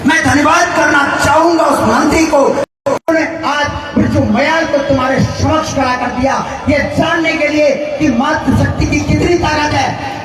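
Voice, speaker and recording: Fiery man shouting to a rally crowd. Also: extremely high pitch, fairly even intonation, normal speed. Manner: impassioned, shouting, rhetorical questions. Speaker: rally speaker. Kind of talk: fiery political speech to a crowd. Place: outdoor rally ground. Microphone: public address mic heard from a distance. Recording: echoey outdoor PA from far off, crowd noise. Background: crowd murmur.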